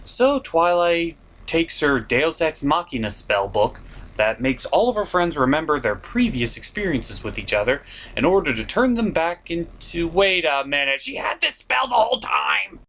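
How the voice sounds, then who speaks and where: A man's voice: fairly high pitch, animated, moderate pace. A man reviewing a cartoon as a show host, theatrical and exasperated in his opinionated commentary. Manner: theatrical, exasperated, swings into shouted outbursts.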